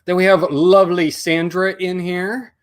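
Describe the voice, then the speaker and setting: A man's voice: high-pitched, flat delivery, normal speed. A middle-aged man with a Canadian accent, hosting a casual live stream, rambling and joking as he reads out names while his voice is going. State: losing his voice, coughing. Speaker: host.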